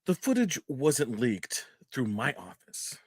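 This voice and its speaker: A man's voice: medium pitch, wildly swooping pitch, slow pace, slightly rough, no room sound, clean studio sound, exaggerated voice. A man acting an indignant, fearmongering American senator in a radio play, speechifying with rhetorical emphasis. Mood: grave alarm. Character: alarmist, indignant male American senator stirring up fear.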